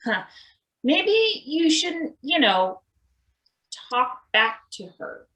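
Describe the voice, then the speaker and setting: Woman doing a hesitant tired repair worker, understated, slow talker, swingy pitch, reading a satirical play. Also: sing-song, bright, forceful tone, heavily acted, rough recording. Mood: awkward, dry. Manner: halting, understated. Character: hesitant, mild-mannered, weary male maintenance worker named Izzy. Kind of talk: live table read of a satirical stage play.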